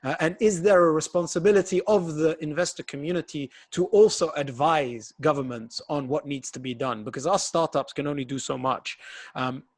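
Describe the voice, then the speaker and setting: A man's voice: fairly high pitch, moderate pace, darkish tone, dry recording, clean studio sound. A man hosting a webinar interview, asking long multi-part questions with some restarts. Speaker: webinar host. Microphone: video call.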